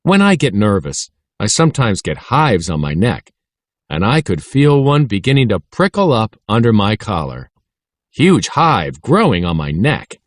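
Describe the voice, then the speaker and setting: Man, lower-pitched voice, animated swoops, dry close sound, expressive children's audiobook narration. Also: measured pace, dry recording. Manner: expressive, voices dialogue between schoolboys. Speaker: audiobook reader. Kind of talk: scripted children's story narration, read aloud.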